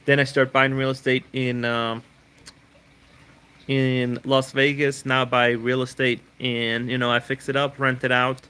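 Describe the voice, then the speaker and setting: Bright-voiced man rambling through live Q&A. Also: medium pitch, level tone, a little slow, clean tone. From Switzerland. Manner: rambling, repeats words, frequent restarts. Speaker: livestream host answering viewer questions. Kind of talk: casual live Q&A chat.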